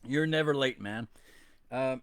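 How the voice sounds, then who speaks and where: A man's voice: mid-range voice, a little slow, a bit bright, no room sound. A man from Quebec with a French-Canadian accent, a native French speaker, hosting a casual bilingual live stream in a chatty, rambling, self-deprecating way. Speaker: podcast host.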